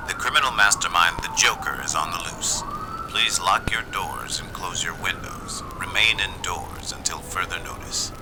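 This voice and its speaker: A man's voice: low-pitched, moderate pace, over music. A man playing a grave radio newsreader, making a formal, urgent emergency announcement. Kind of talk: radio news bulletin acting. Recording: noisy radio broadcast with sirens.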